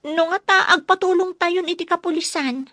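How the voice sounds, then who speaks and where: A woman's voice: extremely high pitch, normal speed, a bit bright, no room sound. A woman acting in a radio drama as a worried, aggrieved villager, earnest and plaintive. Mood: pleading.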